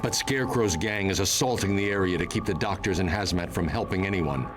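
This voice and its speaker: An actor playing a stern masked vigilante giving terse, grave mission briefings in a video game. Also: male voice, deep voice, brisk, background noise, close to an everyday voice. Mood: grave, commanding. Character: stern, commanding male masked vigilante hero. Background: music.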